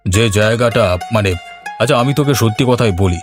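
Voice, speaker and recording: Man performing a covetous cartoon village boss, slightly low pitch, commanding, deliberate, faint music. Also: close to an everyday voice. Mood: calculating, commanding. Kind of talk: cartoon voice acting.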